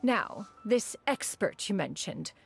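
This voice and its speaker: A woman's voice: mid-range voice, lively intonation, takes it slowly, gravelly, slightly rough, soft background music, heavily acted. A woman voicing a proud, formal immortal master in a video game, with stately, archaic, haughty speech. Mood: indulgent.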